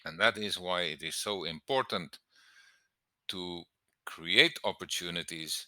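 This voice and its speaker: A man speaking as an English teacher giving exam tips in an emphatic, deliberate instructional style, stressing key words. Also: sits low, sharp tone, no room sound. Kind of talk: instructional lesson to camera.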